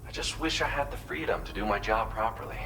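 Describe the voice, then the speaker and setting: A wistful architect in a video game, with a fairly low voice. He explains things earnestly, like a proud craftsman whose art is fading. Clear noise sits behind the voice. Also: animated, quick pace. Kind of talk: video game cutscene dialogue. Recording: clear background noise under the voice.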